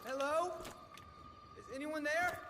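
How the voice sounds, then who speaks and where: High-voiced nervous bookish researcher. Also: male voice, fairly even intonation, slow, background noise. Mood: nervous, eager. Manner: hesitant, wordy, polite. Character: nervous, eager, bookish male monster researcher.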